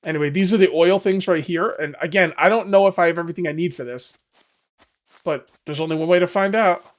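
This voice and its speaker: A man with a hoarse, fading voice, casually commenting on his survival game as he plays and rambling to his viewers. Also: high voice, fast. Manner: rambling, self-correcting, talks to viewers. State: losing his voice. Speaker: gaming video host. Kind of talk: casual let's-play commentary.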